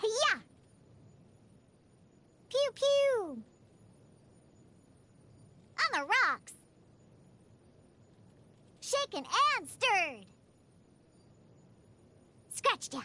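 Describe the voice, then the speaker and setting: A woman's voice: extremely high pitch, big pitch swings, drawn-out words, pauses often, sharp tone, heavily acted. A woman voicing a feisty, proud young cat-eared girl bartender in a video game, pouty and bratty with cutesy delivery. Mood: excited battle cries. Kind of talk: video game character voice lines.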